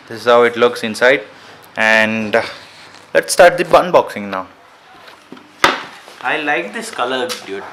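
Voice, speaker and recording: A man with an Indian accent giving casual, informal unboxing commentary to viewers, often repeating himself and calling them guys. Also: medium pitch. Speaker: vlogger reviewing gadgets. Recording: echoey room with faint background noise.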